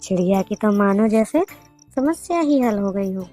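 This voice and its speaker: A woman's voice: mid-range voice, expressive, moderate pace, dark tone, faint music behind. A woman narrating a children's moral story in a scripted storytelling style.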